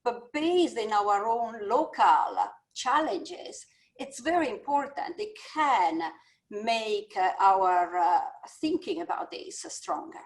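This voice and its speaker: A woman from Italy with an Italian accent, a business school dean speaking thoughtfully on a video-call panel, pausing often to find her words. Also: a little high, lively intonation. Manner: thoughtful, searching for words with many fillers. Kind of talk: panel discussion.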